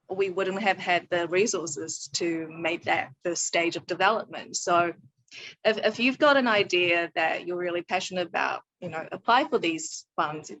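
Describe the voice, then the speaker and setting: A woman talks frankly on a panel about making a show. Her voice sits fairly low for a woman, and she laughs easily between points. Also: poor audio. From New Zealand. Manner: candid, laughs often, lively. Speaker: co-creator and actor. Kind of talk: panel talk.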